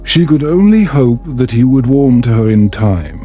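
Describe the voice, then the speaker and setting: A man reading a scripted bedtime fantasy story in a calm, soft, soothing, measured way. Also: medium pitch. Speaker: storyteller, narrator. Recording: music under the voice.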